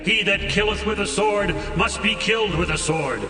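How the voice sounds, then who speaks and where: A man proclaiming a passage in a measured way in a dramatized scripture reading. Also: high voice, bright, forceful tone. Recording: distant, echoey hall with music.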